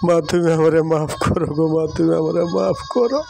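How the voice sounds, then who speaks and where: A man's voice: on the high side, a little fast, creaky, darkish tone, soft background music. A man playing a remorseful, panicky young village son in a melodramatic cartoon, whining and repeating his pleas with a Bangladeshi accent. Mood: remorseful pleading. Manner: whining, repetitive pleading. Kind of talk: melodramatic Bengali moral cartoon acting.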